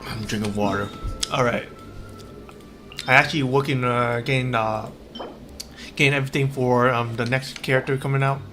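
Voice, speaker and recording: Halting male game streamer over music. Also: medium pitch, steady tone. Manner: halting, repetitive, rambling delivery. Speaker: game livestreamer. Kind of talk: casual livestream chat while playing.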